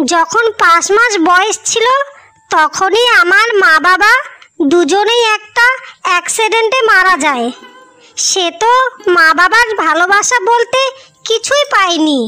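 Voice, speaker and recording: A woman's voice: very high-pitched, sharp tone, heavily acted. A woman voicing a devoted, stubborn young working woman in a cartoon story, speaking in an earnest, pleading manner. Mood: tender, sorrowful. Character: devoted, stubborn orphaned young elder sister, working as maid. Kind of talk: Bengali cartoon story dialogue. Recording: music behind the voice.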